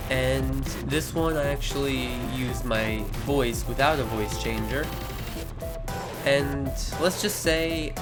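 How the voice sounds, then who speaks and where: Teen male narrator, casual and rambling, self-correcting, with loud music running underneath. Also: medium pitch. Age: teens. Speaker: video creator narrating their early animations. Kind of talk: casual voice-over commentary. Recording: music behind the voice. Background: background music.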